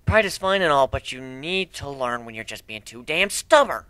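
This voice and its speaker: A man's voice: mid-range voice, lively intonation, moderate pace, sharp tone, clear, resonant tone, dry recording. A man voicing a brash, cocky griffin adventurer with a blunt, sardonic way of talking, in a fantasy story reading.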